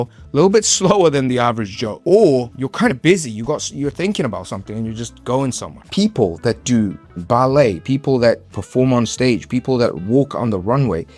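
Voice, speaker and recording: A man calmly explaining self-improvement tips in a played video clip. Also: medium pitch. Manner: calm, explanatory coaching. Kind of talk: instructional advice video.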